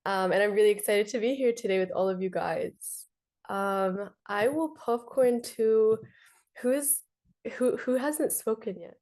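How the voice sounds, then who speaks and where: An upbeat woman in her twenties from Washington, D.C., introducing herself on a panel over a video call. Her talk is chatty and easygoing, with a voice of middling pitch. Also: unhurried, a little mellow. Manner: upbeat, chatty, laughs often. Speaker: panelist, business owner.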